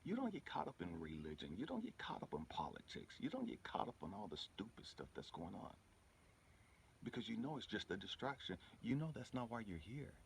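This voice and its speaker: A man's voice: lowish voice, huge pitch swings, fairly quick, gravelly, slight background noise. A man giving an informal motivational spiritual talk in an emphatic, repetitive, colloquial way, often checking in with the listener. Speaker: spiritual healer and channel host.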